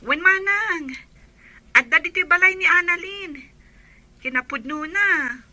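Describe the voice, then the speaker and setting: A woman's voice: extremely high pitch, unhurried, bright, forceful tone, dry recording, exaggerated voice. A woman with a Filipino accent voicing a polite, eager young girl in a radio drama.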